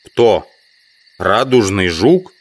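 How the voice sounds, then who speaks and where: An actor playing an inquisitive, sceptical insect collector from the city in an audio drama. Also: male voice, fairly low pitch, animated, extremely slow, no room sound. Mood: insistent, sceptical. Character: male amateur entomologist, inquisitive, sceptical, politely insistent city visitor. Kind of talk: Russian audio drama, dialogue and inner monologue.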